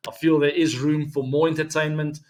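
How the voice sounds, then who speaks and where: A man in his forties with a South African accent, Afrikaans his first language, hosting his own weekly cricket show in a relaxed, chatty way full of ums and asides to viewers. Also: a little high, a little fast. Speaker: show host.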